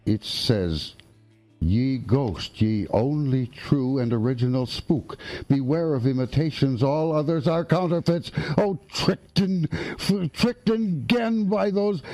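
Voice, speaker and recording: Old ghost knight, pompous, blustering, highly animated delivery, unhurried, radio drama, music underneath. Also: male voice. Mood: outraged. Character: pompous centuries-old male English ghost knight, easily outraged.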